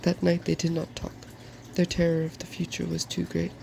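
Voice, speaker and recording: A soft-spoken narrator gently reading a fairy tale in an ASMR style. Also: medium pitch, completely flat delivery, normal speed, creaky, a little hiss or hum, rough recording.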